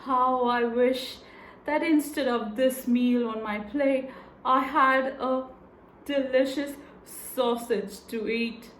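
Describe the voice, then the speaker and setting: A woman acts out a poor storybook woodcutter, a mild husband with a slow, plaintive delivery. He sounds measured, and the room around him is echoey. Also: high voice, steady tone, a bit bright. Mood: wistful. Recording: echoey room with faint noise.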